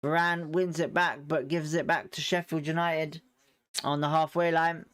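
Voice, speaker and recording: Male host for live fan commentary from home, chatty and opinionated, with a smooth, clear voice pitched a touch high. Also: flat delivery, moderate pace, a bit bright. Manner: chatty and opinionated, hedging his views.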